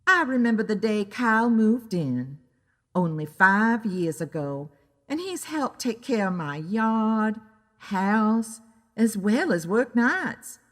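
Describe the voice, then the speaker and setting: A woman's voice: medium pitch, animated, slow pace, big-room sound. A woman voicing a chatty, exclamatory older homeowner in an audio drama. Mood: surprised, indignant. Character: astonished older woman homeowner, protective of her dog.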